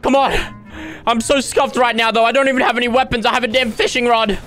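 Young male gamer, high bright voice, over-the-top commentary, going hoarse. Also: little pitch movement, quick pace, soft background music. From Australia. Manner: loud, dramatic, squealing yelps and groans. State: starting to lose his voice. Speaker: gaming YouTuber. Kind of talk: excited gameplay commentary.

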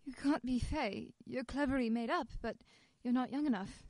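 An actress as a shaken young wife in a radio drama, newly widowed. Her voice is high and soft-toned, halting and slow with bewildered fear, in a dry, close recording. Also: dry recording, rough recording. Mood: disbelieving, distraught. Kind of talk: old-time radio play acting.

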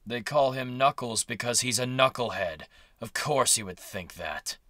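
A man's voice: medium pitch, animated, moderate pace, sharp tone. A man playing an aloof, sardonic cartoon hedgehog rival, curt and dismissive, in a fan audio drama. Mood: dry, knowing.

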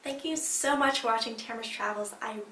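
A woman's voice: fairly high pitch, fairly quick, sharp tone, a little hiss or hum. A young woman sitting on a couch indoors, chatting to the camera with travel tips. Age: young adult. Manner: chatty, gestures while giving travel tips. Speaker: travel vlogger. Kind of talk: seated vlog commentary. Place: small indoor room, on a couch. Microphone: camera a little way off. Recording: roomy sound from a distant camera.

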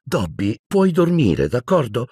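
A man's voice: mid-range voice, huge pitch swings, slow, warm tone, slightly rough, dry recording, studio quality, voice pushed up, full character voice. A man doing an earnest teenage boy.

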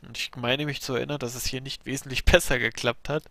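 A man with a bad cold commentating a replay of his own strategy game match, explaining his moves in a relaxed way with fillers. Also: medium pitch, fast talker, no echo.

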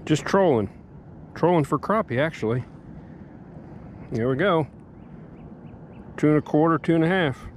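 A man's voice: fairly high pitch, a little slow, pauses often, slight background noise. A middle-aged man hosting a fishing vlog, talking casually from a small boat on a lake and explaining step by step how he rigs his bait. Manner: relaxed, explains step by step, excited bursts at catches. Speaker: fishing channel host. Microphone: head-mounted action camera.

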